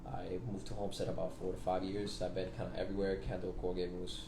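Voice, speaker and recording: Low-voiced young man, echoey noisy room. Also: fast talker, vocal fry. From Venezuela, moved to Florida around fifteen. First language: Spanish. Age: 20s. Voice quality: fluent American-sounding English. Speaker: music artist guest. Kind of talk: casual chat. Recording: echoey room with steady noise.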